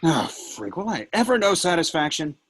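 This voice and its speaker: A man playing Satan as a mocking, brash, theatrical devil with a rough voice in a play read-through. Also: on the high side, big pitch swings, moderate pace, noisy background. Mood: outraged taunting. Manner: sneering, taunting, swings between mutter and outburst. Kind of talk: acting in a virtual table read.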